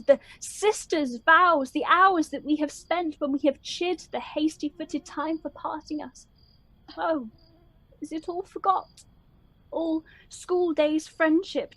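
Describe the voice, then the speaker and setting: High-pitched wounded young Shakespearean heroine, played by a woman. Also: dry recording, poor audio. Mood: hurt, reproachful. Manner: declamatory, reproachful Shakespearean verse delivery. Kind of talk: radio play Shakespeare acting.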